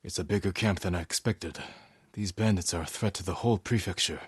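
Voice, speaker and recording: A man playing a solemn, resolute samurai warrior in a video game, speaking in a husky, measured, grave way with short phrases. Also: low voice, no room sound, studio quality. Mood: somber, gentle. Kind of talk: dramatic video game character dialogue.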